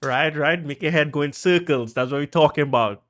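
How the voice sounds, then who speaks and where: A man with a Trinidadian accent casually talking through how he produced a track, chatty and full of fillers, getting excited as he goes. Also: on the high side, brisk, over loud music. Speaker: music producer.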